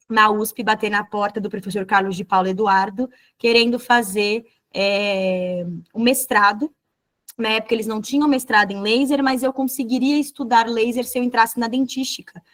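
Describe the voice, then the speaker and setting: Brazilian lady dentist teaching an online class, a bit high-pitched, keeps tossing in little tag questions. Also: steady tone, moderate pace. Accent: Brazilian Portuguese. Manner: fluent, explanatory, frequent tag questions. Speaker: dentist and course lecturer. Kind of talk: online lecture.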